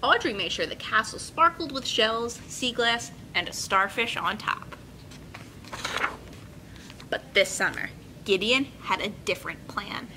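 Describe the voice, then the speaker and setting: A young woman hosting a children's storytime, speaking in an expressive, encouraging way as she reads and instructs. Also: unhurried. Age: young adult. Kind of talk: picture-book reading and friendly instruction for children. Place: indoor children's room with carpeted steps. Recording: clear room noise, low rumble.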